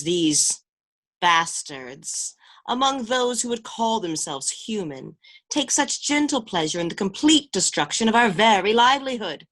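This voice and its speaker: A woman's voice: on the low side, a bit bright, full character voice. Woman playing theatrical, bitter, mournful castaway. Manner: theatrical, declamatory. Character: bitter, mournful castaway with archaic, florid speech. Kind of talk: absurdist radio play acting. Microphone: video call.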